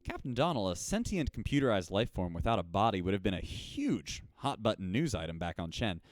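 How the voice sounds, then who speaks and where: An actor playing a chatty, upbeat radio host aboard a starship in an audio drama. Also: male voice, lowish voice, sing-song, brisk, dry recording, clean studio sound.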